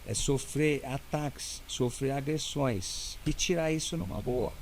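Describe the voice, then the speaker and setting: A Brazilian lawyer talks as a guest in a casual interview. He walks through points one by one, keeps checking in with his listener, and has an ordinary middle-pitched voice. A faint ambient hum sits in the background. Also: a little slow, a little mellow. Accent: Brazilian Portuguese. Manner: didactic, explains step by step with many "né?".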